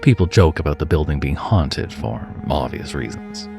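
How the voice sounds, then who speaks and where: A man narrating a first-person horror story in a measured, dramatic and expressive way, dropping to a hush at times. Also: very deep voice, gravelly. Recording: music under the voice. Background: background music.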